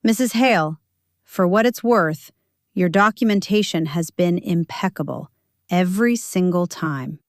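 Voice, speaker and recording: A woman's voice: lowish voice, measured pace, no room sound, clean studio sound. A woman voicing a weary, careful male deputy with a formal, restrained manner in an audio story. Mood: sincere.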